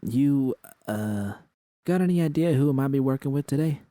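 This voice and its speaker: A man's voice: mid-range voice, lively intonation, moderate pace, warm tone, no room sound, studio quality, voice pushed up, close to an everyday voice. Man voicing a frank, bold young woman. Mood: casual, curious.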